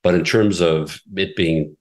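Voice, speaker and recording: A man in an interview, a radio executive explaining his station's approach in a measured way with frequent fillers. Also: low voice, a little mellow. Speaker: classical radio station president. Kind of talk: conversational interview answers.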